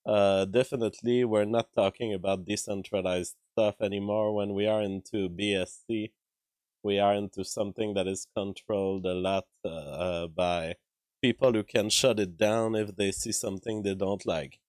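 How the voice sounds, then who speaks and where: A man hosting a live stream, hoarse from a cold, talking in a halting way with many fillers and throat-clearing. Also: lowish voice, fairly even intonation, a little mellow, dry recording, studio quality. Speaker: livestream show host. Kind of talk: casual live-stream commentary reading chat. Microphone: streaming microphone.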